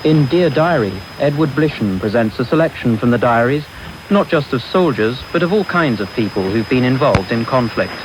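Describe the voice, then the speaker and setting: Male trail announcer, medium pitch, scripted delivery, audible medium-wave noise. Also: lively intonation, moderate pace. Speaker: radio programme trail announcer. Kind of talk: scripted narration. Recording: noisy medium-wave radio recording.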